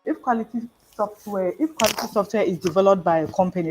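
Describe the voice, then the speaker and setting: A woman giving a prepared talk at an online event over a video call, measured and pausing on frequent fillers. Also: medium pitch, background noise. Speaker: guest speaker.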